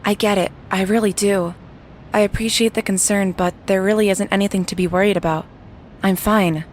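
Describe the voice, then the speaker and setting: A woman's voice: mid-range voice, fairly even intonation, brisk, a little hiss or hum, lightly acted. A woman acting a tough, cynical security guard in an audio drama, blunt, serious and protective. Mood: wry, steady. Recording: music behind the voice. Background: background music.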